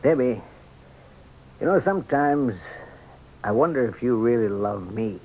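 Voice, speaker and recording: An actor playing an adoring, jealous, earnest politician husband in a radio drama. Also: male voice, medium pitch, animated, measured pace. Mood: tender, jealous. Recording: tinny, faintly noisy old radio recording.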